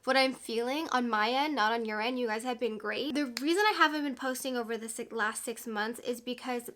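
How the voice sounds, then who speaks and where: A woman's voice: on the high side, a little fast, fairly bright tone, a little hiss or hum. A woman in her late twenties chatting candidly to her viewers as a YouTube vlogger, a little congested from a cold and full of "like" fillers. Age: 20s. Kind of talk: casual sit-down chat to camera.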